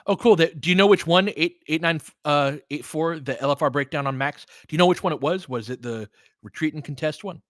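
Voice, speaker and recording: A man's voice: on the high side, a little fast, fairly bright tone, no echo, clean studio sound. A man doing casual live basketball play-by-play as a stream host, speaking conversationally in short, clipped phrases. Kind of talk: casual live basketball commentary with fan chat.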